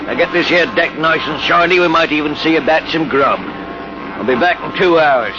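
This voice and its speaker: An actor playing a sly, bullying Cockney spaceship mate in a 1950s radio drama, mocking and slangy with mock politeness. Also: male voice, fairly high pitch, moderate pace, lightly acted. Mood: gruff, bossy. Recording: noisy, dull old 1950s radio recording.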